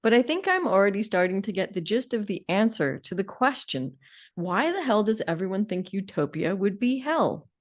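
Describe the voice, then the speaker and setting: A woman's voice: medium pitch, big pitch swings, normal speed. A woman co-hosting a podcast, talking casually and informally with a sardonic, opinionated edge. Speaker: podcast co-host. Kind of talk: casual conversational discussion.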